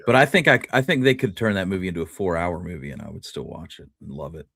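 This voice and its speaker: A man's voice: low voice, fast, creaky, fairly bright tone, no room sound, clean studio sound. A man hosting a casual conversation, speaking informally with loose, conversational phrasing. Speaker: host. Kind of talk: casual interview chat.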